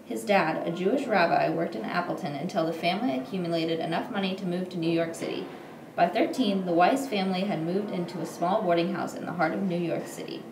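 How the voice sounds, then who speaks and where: A woman's voice: fairly low pitch, brisk. A young woman reads a scripted history report aloud as a student presenter, occasionally stumbling over her words. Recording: roomy echo, clear background noise.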